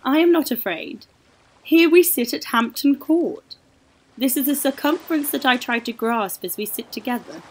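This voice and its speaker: A woman's voice: high-pitched, moderate pace, slight background noise, lightly acted. A woman playing a proud, vain, sensual middle-aged woman in a literary radio drama.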